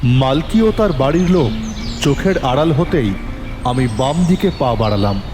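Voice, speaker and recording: A man narrating a detective story in the first person, in a measured, suspenseful storytelling manner. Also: medium pitch, lively intonation, in a large hall, noisy background.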